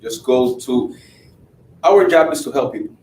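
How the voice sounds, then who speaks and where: A man's voice: mid-range voice, expressive, normal speed, a little mellow, roomy sound, far from the mic, slight background noise, rough recording. A man with a Spanish accent, a sales agent who answers questions in a meeting in a hesitant way, with many fillers.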